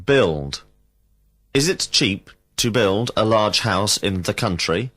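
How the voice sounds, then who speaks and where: Male language teacher, low voice, very slow and deliberate drill prompts. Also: lively intonation, a bit bright. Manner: slow, carefully enunciated prompts. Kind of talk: scripted drill questions for English learners.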